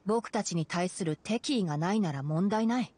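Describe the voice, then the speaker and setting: A woman voicing a calm, clever young male forest ranger in a video game, explaining things in a measured, self-assured way. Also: medium pitch, husky, natural voice. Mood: calm, instructive. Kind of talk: Japanese video game voice acting.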